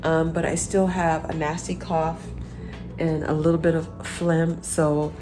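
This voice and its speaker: A woman in her thirties, a parent talking casually to camera while getting over a cold, sounding congested and thinking out loud with many pauses. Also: low-pitched, flat delivery, music in the background. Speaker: vlogger, parent.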